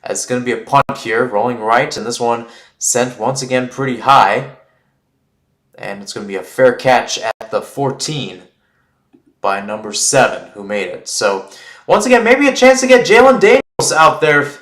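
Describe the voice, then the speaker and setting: A man giving live play-by-play football commentary in a halting way, often repeating his phrases. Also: mid-range voice, animated, fairly bright tone. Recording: roomy, echoing sound.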